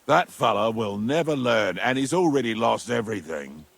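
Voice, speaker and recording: A man playing a weary, penitent former outlaw in a medieval video game, speaking gravely and with resignation in a husky, gravelly voice. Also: medium pitch, animated, normal speed. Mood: wry, resigned. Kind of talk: dramatic video game dialogue.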